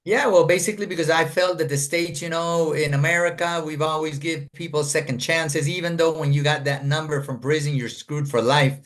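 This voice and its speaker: A man's voice: a little high, fairly even intonation, brisk, few pauses, fairly bright tone. A middle-aged man from Colombia, a guest telling his life story in an earnest, rambling way, full of fillers and restarts, over a video call.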